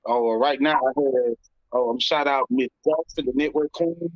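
A middle-aged American man answering interview questions casually, in a halting, informal way. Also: mid-range voice, no echo. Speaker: interview guest, rapper and label CEO. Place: indoor room, video call. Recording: slightly muffled, dry call audio.

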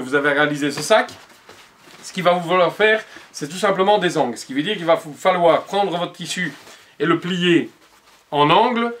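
A man's voice: high voice, fairly bright tone. A man in his forties patiently giving step-by-step upholstery instructions in his workshop showroom, recorded on the camera mic. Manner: patient, explanatory, addresses viewer directly. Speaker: upholsterer demonstrating cushion making.

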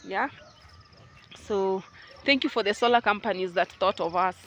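A woman's voice: mid-range voice, moderate pace, fairly bright tone, slight background noise. A Kenyan woman vlogging casually from her rural farm, chatting to viewers as family and guys, recorded on a phone outdoors. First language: Luo. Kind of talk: casual vlog chat to viewers.

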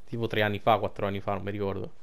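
A man's voice: on the low side, flat delivery, rapid-fire, vocal fry, a little hiss or hum. Young man commenting casually on games. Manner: colloquial, swearing, emotionally invested. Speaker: game streamer.

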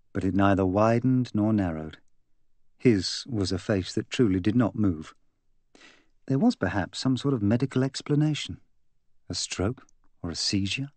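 A man reading a mystery novel as an audiobook, in a measured, expressive literary manner. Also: on the low side, no room sound. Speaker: audiobook narrator. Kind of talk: scripted narration of a mystery novel.

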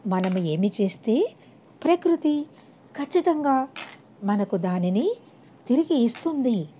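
A woman's voice: a little high, sing-song, slow, husky, voice pushed down, exaggerated voice. A woman voicing a kind, sincere young woman in a storybook reading, on a dull recording. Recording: dull, lo-fi audio.

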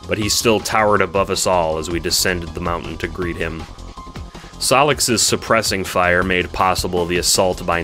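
Casting: male narrator, low and bright-edged, solemn delivery of an epic script. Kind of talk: dramatic scripted story narration. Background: instrumental music with guitar.

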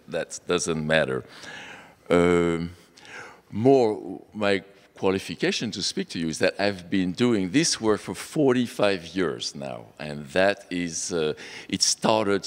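Deep-voiced French man lecturing. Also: clear, resonant tone. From France. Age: middle-aged. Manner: halting, many fillers and restarts. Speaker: guest lecturer. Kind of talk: public lecture. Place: lecture hall. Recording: long reverb of a lecture hall.

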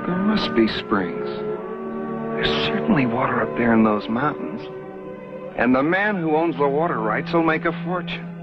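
An actor playing a scheming young pioneer with a wry, sarcastic manner, on a dull old TV soundtrack with music. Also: male voice, fairly high pitch. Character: scheming young male pioneer chasing fortune. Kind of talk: 1950s TV western acting.

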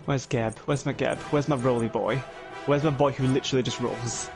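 British man bantering, mid-pitched voice. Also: fairly even intonation, brisk, creaky. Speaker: player in online game group. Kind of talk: casual gaming banter. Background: faint game music.

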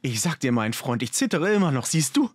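Man playing an anxious, animated male herald. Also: mid-range voice, fairly quick, husky, dry recording, studio quality, pitched above the performer's own voice. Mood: shaken. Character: anxious, urgent male herald insisting on a hidden danger. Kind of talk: audiobook character dialogue.

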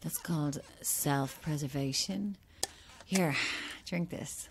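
A woman's voice: low voice, slow pace, a little hiss or hum. An actress playing a macabre, blithely unhinged woman in a horror-comedy audio drama, arch and morbidly flippant. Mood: dry.

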